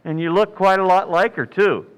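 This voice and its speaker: A man's voice: high voice, moderate pace, smooth, natural voice, rough recording. A man acting a shrewd, folksy police lieutenant in a radio crime drama, needling people with mock modesty and hesitant asides.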